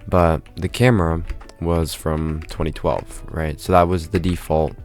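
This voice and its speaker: Congested male vlogger, very low voice, rambling casual monologue full of "right?" tags, faint music under him. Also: little pitch movement, measured pace, a little mellow. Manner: rambling, tags nearly every phrase with "right?".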